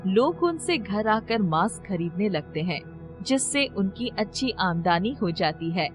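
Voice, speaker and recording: महिला कहानीवाचक, पीछे संगीत. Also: female voice, medium pitch, big pitch swings, measured pace, over music. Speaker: storyteller. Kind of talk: scripted children's story narration.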